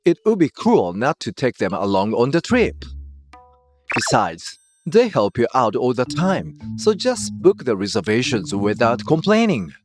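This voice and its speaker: Man acting a self-righteous, whiny husband, animated delivery. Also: mid-range voice, unhurried. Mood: scolding, dismissive. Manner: scolding, indignant, condescending. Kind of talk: dramatized manga dub dialogue. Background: music.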